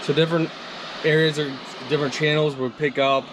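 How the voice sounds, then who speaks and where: A man's voice: on the high side, little pitch movement, a little fast, background noise. A man doing a casual, upbeat hands-on product review, chatting to his viewers as he tries things out.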